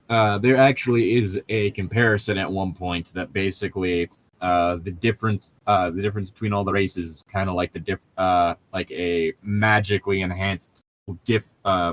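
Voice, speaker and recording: A man's voice: low voice, fairly even intonation, normal speed, slight background noise. A man chatting casually as a podcast co-host, explaining things in a nerdy way and often restarting his sentences. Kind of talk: casual chat.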